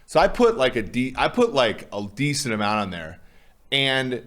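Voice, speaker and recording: A man co-hosting a casual sports chat, talking loudly and rambling, with many restarts and fillers. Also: medium pitch, big pitch swings, moderate pace. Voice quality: loud voice. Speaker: co-host.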